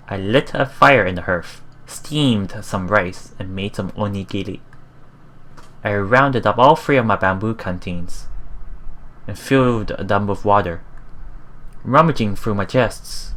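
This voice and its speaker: A let's play host reading a visual novel aloud in a halting way, with casual, musing commentary. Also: mid-range voice, a bit bright. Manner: halting, musing, repeats phrases.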